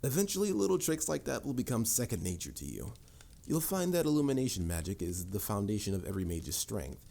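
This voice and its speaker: Deep, mellow mage mentor, acted by a man. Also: sing-song, a little fast, creaky, full character voice. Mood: pleased, encouraging. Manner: instructive, theatrical, slightly formal. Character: confident adult male mage mentor, patient, boastful, encouraging. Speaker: voice actor. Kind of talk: scripted fantasy audio roleplay. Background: music.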